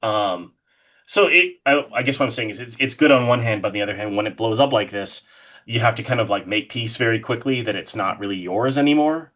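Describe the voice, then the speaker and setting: A man with a fairly low voice speaks as the guest in a casual interview. He rambles with enthusiasm, often hesitating mid-thought before carrying on. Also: brisk, a bit bright. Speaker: guest, horror artist being interviewed.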